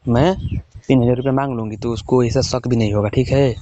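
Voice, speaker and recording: A man's voice: medium pitch, fairly even intonation, a little fast, a little hiss or hum. A man in a casual private phone conversation, giving instructions insistently and repeatedly checking that he is understood. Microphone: phone call. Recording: dull lo-fi phone call recording.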